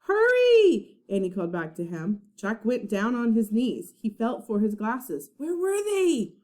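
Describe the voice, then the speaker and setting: Expressive woman reading slowly, very lively pitch. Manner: expressive, dramatic storytelling. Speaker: first-grade teacher reading aloud. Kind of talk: storybook narration for young children.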